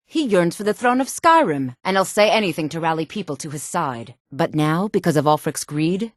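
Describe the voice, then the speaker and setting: A woman voicing a sultry, sharp-tongued, disdainful townswoman and courtier in a fantasy video game. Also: lowish voice, animated, moderate pace, no room sound, clean studio sound, natural voice. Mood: bitter, indignant. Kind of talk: video game character dialogue.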